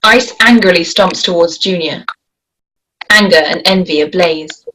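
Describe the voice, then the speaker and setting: A woman's voice: lowish voice, a little slow. A woman narrating scripted action and stage directions at a screenplay table read. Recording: faint background noise.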